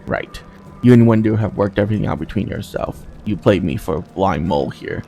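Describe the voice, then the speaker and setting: A bitter young male fighter, read out by a man playing a fantasy game, with a low, creaky voice. He is accusing and insistent, his pitch swinging with sarcasm. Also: animated, a little fast, mellow. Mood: bitter, resigned. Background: game music.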